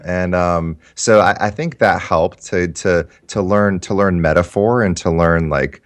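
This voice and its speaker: A man's voice: low voice, a little slow. A man in his thirties whose first language was Japanese, a guest writer telling his story in a loose, rambling way with many restarts. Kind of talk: casual interview talk.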